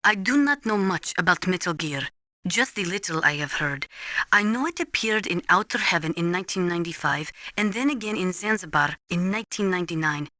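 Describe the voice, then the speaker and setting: A serious female weapons adviser in a military video game. Her voice is crisp-edged and bright, recorded very dry and close. She explains things formally and exactly, like a trained instructor. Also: on the low side, expressive, brisk, no room sound. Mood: serious, cautionary. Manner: formal, precise, instructive, avoids contractions. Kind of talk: scripted video game voice acting, explanatory briefing.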